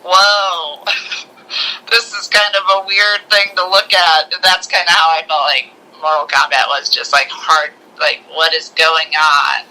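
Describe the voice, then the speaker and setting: Woman on a review podcast, really bright sharp voice, casual, stop-start, acts out little quotes. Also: deep voice, fairly even intonation, slow pace, rough recording. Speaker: podcast co-host. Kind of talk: casual movie review chat.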